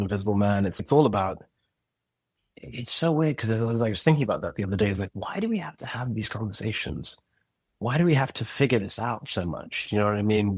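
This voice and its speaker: A man's voice: lowish voice, husky, no echo. A man who grew up in Zimbabwe, a podcast guest talking thoughtfully and searching for words, often restarting and checking "you know what I mean". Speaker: podcast guest, audio drama creator. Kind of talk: reflective interview conversation.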